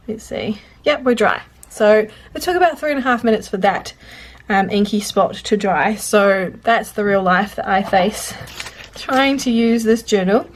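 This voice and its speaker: Chatty congested woman journaling aloud. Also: mid-range voice. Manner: rambling, self-interrupting, talks to herself while working. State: congested, sniffly. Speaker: journaling vlogger. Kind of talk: chatty real-time journaling commentary. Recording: faint clicking and background noise.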